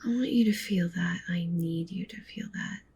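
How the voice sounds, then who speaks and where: Casting: actress as obsessively loving wolf-girl witch for ASMR roleplay; breathy coaxing whispers, gravelly fry, slight background noise. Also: normal speed, a little mellow. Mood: adoring, intense. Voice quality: breathy whisper with low creaky murmur. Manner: intimate, tender, clingy, coaxing. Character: possessive, doting yandere wolf witch girlfriend.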